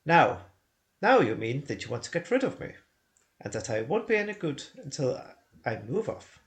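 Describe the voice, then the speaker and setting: A man voicing an old male wizard, wise and gruff, speaking deliberately. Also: medium pitch, sing-song. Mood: wry.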